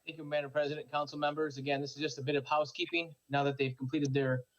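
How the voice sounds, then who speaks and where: A man's voice: on the high side, fast, muffled recording. A man giving a formal report to a city council in a matter-of-fact way. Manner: flat, matter-of-fact delivery. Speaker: city official presenting an item.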